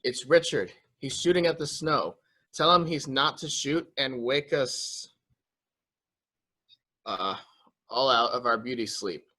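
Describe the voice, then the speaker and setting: A man's voice: medium pitch, takes it slowly, pauses often, pushed and bright. A man acting an anxious, fretful member of a mansion household in a murder mystery reading. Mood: irritable.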